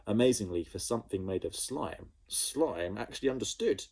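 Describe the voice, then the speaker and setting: Teacher guy reading a kids story, low mellow voice, bouncy, husky. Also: normal speed. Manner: animated, with sound effects. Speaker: primary school teacher. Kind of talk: storybook read-aloud for children.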